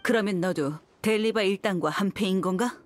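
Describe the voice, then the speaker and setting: A woman's voice: medium pitch, moderate pace, lightly acted. An actress playing a confident, sardonic young female mercenary in a video game, cool and taunting. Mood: cool suspicion. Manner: cool, taunting, self-assured. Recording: music behind the voice.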